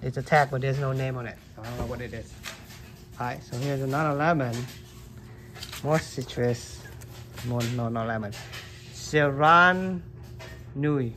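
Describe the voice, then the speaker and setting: A man's voice: medium pitch, unhurried, long pauses, bright, forceful tone, soft background music. A man casually showing how to hand-pollinate plants in a basement grow room, talking loosely with lots of "like" and "um" while filming with a handheld phone. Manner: loose, chatty, fills with "like" and "um". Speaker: gardening vlogger. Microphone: handheld phone camera, filming his hands.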